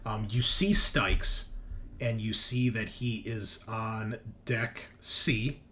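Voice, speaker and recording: A man narrating a tabletop roleplaying game as game master, improvising in a halting, conversational way. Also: fairly low pitch, steady tone, sharp tone, slight background noise.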